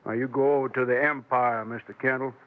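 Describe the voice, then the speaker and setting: An actor playing a self-satisfied, controlling sheriff in a 1950s radio western, folksy and authoritative. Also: male voice, medium pitch, fairly even intonation, a little fast, natural voice. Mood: firm, folksy. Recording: tinny vintage radio recording.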